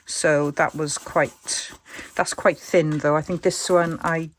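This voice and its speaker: Chatty woman, croaky voice. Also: low-pitched, steady tone, takes it slowly, slight background noise. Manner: chatty, thinks aloud while working. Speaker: crafter demonstrating junk journal covers. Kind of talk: casual craft tutorial.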